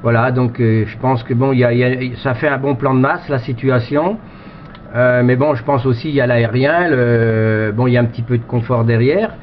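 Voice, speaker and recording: A man's voice: medium pitch, moderate pace. A man chatting casually as an amateur radio operator, with a rambling, repetitive way of talking. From France. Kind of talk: casual radio contact chat. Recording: muffled, noisy two-way radio sound.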